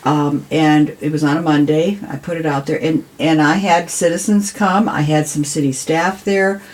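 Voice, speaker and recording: A woman's voice: deep voice, steady tone, moderate pace, darkish tone. An American woman talking conversationally as an interview guest, earnest and emphatic, repeating words for stress. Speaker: city council member, podcast guest.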